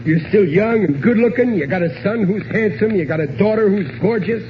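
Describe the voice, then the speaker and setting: An actor playing a blustering, soft-hearted working-class husband and father in a halting, ungrammatical way, in old radio comedy style. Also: high-pitched, lightly acted. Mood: sheepish. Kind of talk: 1940s radio comedy acting. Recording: noisy, muffled old radio recording.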